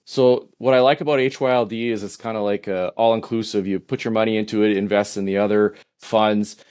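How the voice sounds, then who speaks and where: Low-voiced Canadian man in his fifties. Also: brisk, clear, resonant tone. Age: 50s. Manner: relaxed, hesitant, many "uh" and restarts. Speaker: podcast guest. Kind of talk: casual interview answers.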